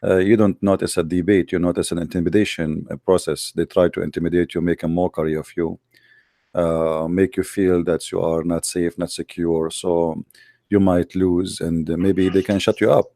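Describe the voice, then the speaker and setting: A Middle Eastern man with an Arabic accent, a guest on an audio call, talking haltingly with many fillers. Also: deep voice, level tone, warm tone, no echo, studio quality. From Middle East.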